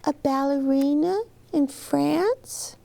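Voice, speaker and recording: A woman's voice: high voice, slow pace, gravelly, dark tone, pitched above the performer's own voice, heavily acted. A woman voicing curious, anxious young twins in a storybook, with earnest childlike questioning. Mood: curious, wondering.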